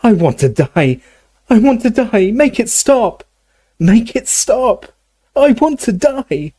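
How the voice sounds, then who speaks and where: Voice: mid-range voice, big pitch swings, measured pace, mellow, slightly rough, no echo. A storyteller voicing an old male wizard professor in anguish, begging and delirious as in an audiobook. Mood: screaming agony.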